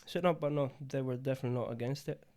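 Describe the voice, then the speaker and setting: A young man from Italy speaks English with an Italian accent in a relaxed podcast chat. His voice sits in an ordinary middle register, and he pauses often with fillers while he hunts for the right word. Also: medium pitch. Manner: hesitant, searching for words. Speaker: podcast guest. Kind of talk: casual conversation.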